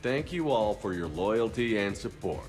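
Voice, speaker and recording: A commanding military officer with dry humor from a video game, acted by a man. His voice is fairly low with plenty of inflection, set over music. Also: animated, moderate pace, a little mellow, close to an everyday voice. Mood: friendly.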